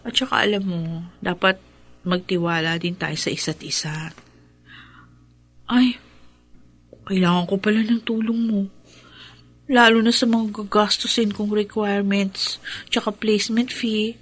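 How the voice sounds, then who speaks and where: Shy, earnest young Filipina wife. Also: female voice, mid-range voice, steady tone, unhurried, long pauses, husky. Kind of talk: radio drama acting. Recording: radio drama with music behind.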